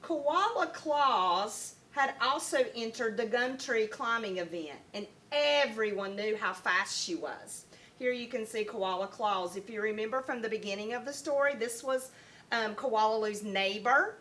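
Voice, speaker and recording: Woman teacher, bright voice, slightly high, unhurried pace, expressive picture book read-aloud for small children. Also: slight background noise. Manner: expressive, deliberate storytelling for young children. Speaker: first grade teacher.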